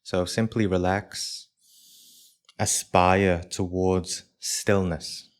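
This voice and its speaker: A man leading a live guided meditation for a group as its teacher, speaking in measured short phrases with pauses between them. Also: sits low, flat delivery.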